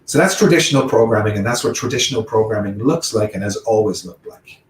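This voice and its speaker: A man's voice: lowish voice, expressive, fast talker, roomy sound, recorded from a distance, slight background noise. A man with a mixed Irish, Welsh, English and American accent, giving a technical talk as guest speaker and explaining ideas step by step. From Ireland, Wales, England and the United States. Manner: explanatory, walking through examples step by step.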